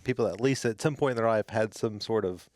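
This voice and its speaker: A man's voice: lowish voice, quick pace, a little mellow, dry recording. A man co-hosting a podcast, chiming in with agreeable, chatty remarks. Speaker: podcast co-host. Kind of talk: casual podcast conversation.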